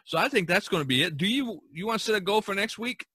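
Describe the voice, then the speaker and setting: A man's voice: high-pitched, expressive, quick pace, bright, forceful tone, husky, no room sound. Man podcast host, casual rambling chat.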